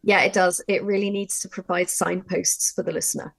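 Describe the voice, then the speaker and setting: Woman, lowish creaky voice, close dry sound, conversational workshop presenter, emphatic, illustrative. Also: fairly even intonation, brisk, dry recording. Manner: emphatic, repeats points with acted-out examples.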